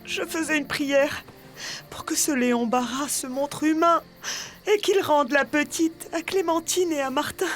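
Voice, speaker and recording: A woman's voice: sits high, unhurried, soft background music. An actress playing a devout, sorrowful woman in a dubbed melodrama, solemn and imploring.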